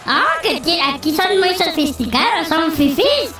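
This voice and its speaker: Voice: very high voice, very animated, a little slow, full character voice. A player voicing a vengeful little female pet dog in a video game roleplay, theatrical, whiny and gloating. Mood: menacing, gloating. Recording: echoey, distant, music underneath.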